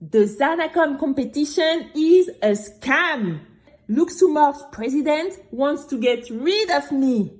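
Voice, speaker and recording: Woman playing a high-voiced, dramatic young girl storyteller. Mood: urgent pleading. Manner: expressive, exclamatory, with drawn-out emphatic words. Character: Bénie, dramatic, brave young girl telling her own story. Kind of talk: children's audio storytelling.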